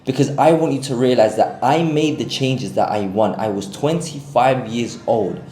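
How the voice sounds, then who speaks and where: A young man in his twenties with a London accent, telling his personal story unscripted to camera in an earnest, confessional way with long pauses. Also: medium pitch, mellow, echoey room, slight background noise. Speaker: self-improvement creator.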